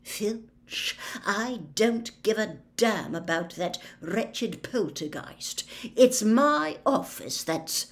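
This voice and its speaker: A tightly controlled, irritable professor from an audiobook, voiced by a woman. His words come slowly and softly, cold and hissed as if through clenched teeth. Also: mid-range voice, animated. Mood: irritated. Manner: cold, soft, clenched, hissing. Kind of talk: audiobook character voice.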